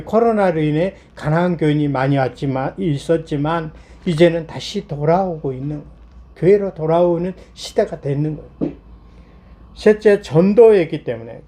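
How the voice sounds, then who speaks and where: High-pitched Korean pastor, slightly hoarse. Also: male voice, dark tone. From South Korea. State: slightly hoarse from a throat cold. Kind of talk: Sunday sermon.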